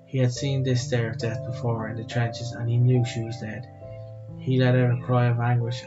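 An Irish man reads a scripted story in a solemn, measured way as a podcast co-host. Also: medium pitch. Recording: slightly dull, music behind.

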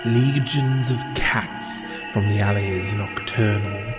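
A man giving a solemn, measured dramatic reading of a poem. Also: on the low side. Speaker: poetry reader. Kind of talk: slow dramatic poetry recitation. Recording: music behind the voice. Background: background music.

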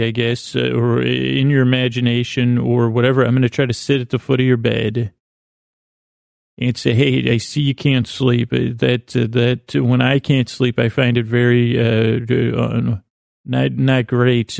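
A man hosting a sleep podcast, rambling softly in a halting, self-correcting way with many pauses. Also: medium pitch, no room sound, clean studio sound. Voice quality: creaky, soft, halting with many restarts. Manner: meandering, self-correcting, drowsy pace. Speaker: podcast host.

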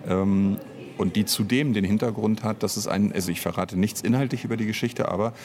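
A man's voice: on the low side, steady tone, a little fast, darkish tone. A man talking casually as an interview guest, explaining at length and often restarting his sentences. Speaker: interviewed audio drama author and director. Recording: noticeable background noise.

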